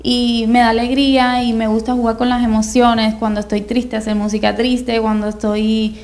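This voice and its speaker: A woman's voice: fairly high pitch, level tone, normal speed, darkish tone. A young woman from Cuba, a singer, chatting in a casual TV studio interview with a handheld mic, bubbly and giggly. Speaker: guest singer. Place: TV studio set.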